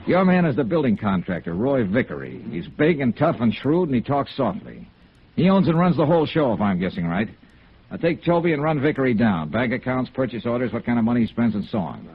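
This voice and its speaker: An actor playing a shrewd, tough American insurance investigator in a vintage radio noir drama, speaking in a brisk, commanding, clipped way. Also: male voice, mid-range voice, big pitch swings, husky. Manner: brisk, commanding, clipped hard-boiled delivery. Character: shrewd, tough freelance insurance investigator, narrating his case. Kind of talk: 1950s American radio noir acting, scripted narration. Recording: tinny, noisy vintage radio recording.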